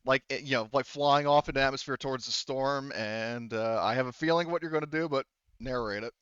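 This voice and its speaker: A man running a tabletop game as game master, talking casually and haltingly and swearing freely. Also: mid-range voice, fairly bright tone, smooth, no echo, highs cut off.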